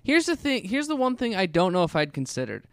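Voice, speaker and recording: A man's voice: high-pitched, wildly swooping pitch, brisk, a bit bright, clear, resonant tone, no echo. A man co-hosting a podcast in casual banter, joking and teasing as he talks. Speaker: podcast co-host and musician.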